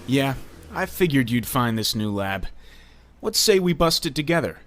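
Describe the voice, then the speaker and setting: An actor playing a defiant young hero and former sidekick in a comic dub, speaking bluntly and with feeling. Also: male voice, medium pitch, big pitch swings, moderate pace, a bit bright. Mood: friendly, mentoring. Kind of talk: comic dub dramatic acting.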